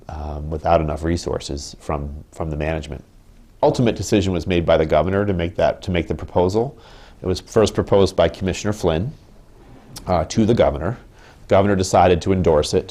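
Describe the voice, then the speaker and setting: Man, 30s, union rep in an interview, deep voice, careful and halting delivery. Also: a little mellow. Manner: measured, thoughtful, with frequent ums and restarts. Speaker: union representative. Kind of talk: interview answers. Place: indoor office with wood paneling.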